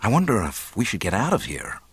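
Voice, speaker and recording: A man's voice: fairly low pitch, wildly swooping pitch, a little fast, fairly bright tone, husky, dry recording, heavily acted. A man playing an anxious, earnest young male hero in an audiobook. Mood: uneasy. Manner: earnest, uneasy. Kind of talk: audiobook character acting.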